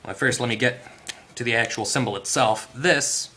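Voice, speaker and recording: Bright-voiced man giving a casual repair tutorial. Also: mid-range voice, moderate pace, slight background noise. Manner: tentative, self-correcting, thinking aloud. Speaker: host of an electronics repair tutorial. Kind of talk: casual hands-on explanation, unscripted.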